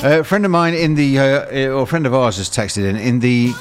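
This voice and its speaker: A man's voice: medium pitch, animated, fairly quick, music in the background. A man presenting a morning radio show, chatting casually and rambling with plenty of fillers and restarts.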